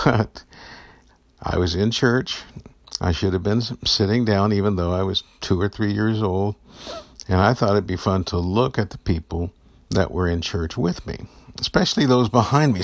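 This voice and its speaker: Guy with a low gravelly voice doing an upbeat devotional talk, chuckles thru his stories, dry close mic. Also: no echo. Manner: earnest and encouraging, tells personal stories with chuckles. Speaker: podcast host giving daily encouragement.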